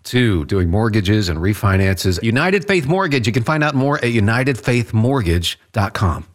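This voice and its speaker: A man's voice: lowish voice, sing-song, moderate pace. A man co-hosting a morning radio show, chatting playfully and joking around. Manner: playful, jokey, tries on a posh accent. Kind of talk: casual morning radio banter.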